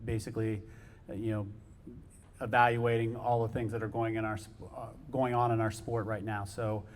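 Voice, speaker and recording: A man's voice: fairly low pitch, flat delivery, normal speed. A man, the head swim coach, addressing families at a team meeting, informal and full of fillers. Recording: long reverb in a large hall.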